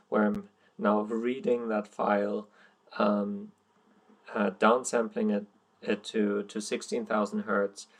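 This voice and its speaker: A young man whose first language is German, talking through code in a casual tutorial with frequent ums and uhs. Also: on the low side. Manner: hesitant, many ums and uhs, plain explaining. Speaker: coding tutorial presenter. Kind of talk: screen-recorded coding walkthrough.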